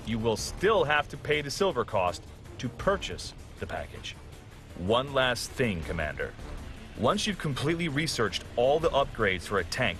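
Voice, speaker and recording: A man's voice: medium pitch, a bit bright. A man reading a scripted game tutorial in a polished, instructional way. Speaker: tutorial narrator. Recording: music behind the voice. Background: background music.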